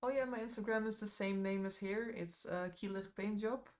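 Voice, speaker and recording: A woman's voice: medium pitch, moderate pace, darkish tone. A woman with a Dutch accent chatting casually to her live-stream viewers while she paints, rambling a little with fillers and asides. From Netherlands.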